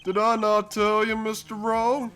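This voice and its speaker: A woman's voice: fairly high pitch, monotone, a bit bright, faint music behind. A woman as pompous, menacing male executive villain. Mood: gloating, threatening. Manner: haughty, deliberate, condescending. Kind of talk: fan-dub game villain acting.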